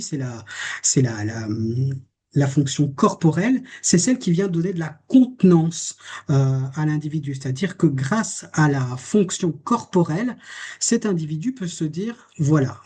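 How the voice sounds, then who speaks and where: Guy therapist giving a talk, bit high and raspy, thoughtful, asks rhetorical questions. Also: warm tone. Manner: reflective, rhetorical questions, quotes a patient. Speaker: therapist giving a public lecture. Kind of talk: lecture presenting a case study.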